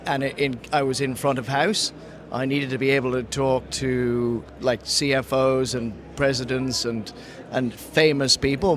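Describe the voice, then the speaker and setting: A man with an Irish accent, who grew up in Ghana, giving a casual interview and often restarting his sentences as he searches for words. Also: mid-range voice. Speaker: interview guest, sells to mining industry. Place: trade conference floor. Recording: busy conference crowd behind.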